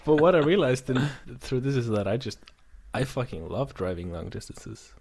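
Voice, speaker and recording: A man's voice: medium pitch, lively intonation, normal speed, a little mellow, breathy edge. A man co-hosting a podcast, talking in a loose, rambling way with restarts and casual swearing. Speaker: podcast co-host. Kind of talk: casual chat.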